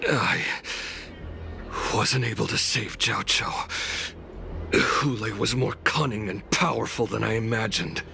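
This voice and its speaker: An actor voicing a grim, defeated warrior in a video game, grave, strained and gravelly. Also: male voice, on the low side, takes it slowly, sharp tone, exaggerated voice. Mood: grave warning. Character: grim, defeated male warrior reporting his failure. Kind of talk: video game cutscene acting. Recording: music behind the voice.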